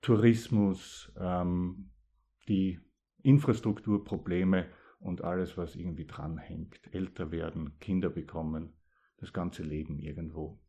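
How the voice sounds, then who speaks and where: Low, mellow East Styrian man. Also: fairly even intonation. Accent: East Styrian Austrian German. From East Styria, Austria. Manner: thoughtful, with pauses and hesitations. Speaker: poet. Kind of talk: dialect poetry reading and talk about it.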